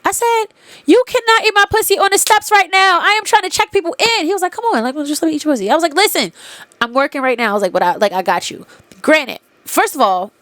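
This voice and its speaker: A woman's voice: very high-pitched, fast talker, dry recording. Woman host, congested, bluntly profane storyteller, very dry close sound. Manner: candid, profane, animated retelling with quoted dialogue. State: congested nose. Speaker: podcast host. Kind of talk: casual storytelling monologue.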